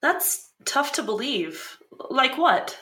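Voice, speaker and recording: An actress playing a curious, playful show host who asks quick questions. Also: female voice, sits high, very animated, sharp tone, full character voice. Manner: inquisitive, bubbly, quick questions. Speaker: podcast host. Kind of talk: scripted podcast drama dialogue.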